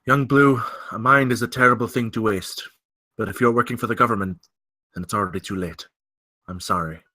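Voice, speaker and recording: A man's voice: fairly low pitch, a little fast, bright, forceful tone, no room sound. A man playing a courteous, bookish older scientist. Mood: grave, troubled. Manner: formal wordy speech. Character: older silver-haired scientist, male, courteous, bookish, wounded.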